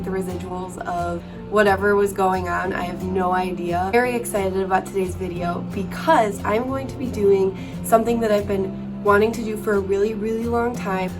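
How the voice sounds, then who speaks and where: A woman in her twenties chatting casually as a lifestyle vlogger, her voice still a little worn from being sick, with lots of "like" fillers. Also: mid-range voice. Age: 20s. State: recovering voice after illness. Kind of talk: casual vlog chat. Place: bedroom at home. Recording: distant mic with guitar music behind.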